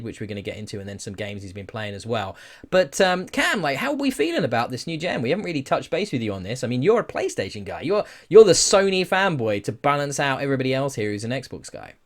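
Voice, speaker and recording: Male podcast host, casual and conversational, mid-range voice, direct and opinionated. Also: medium pitch. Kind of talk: casual gaming podcast chat.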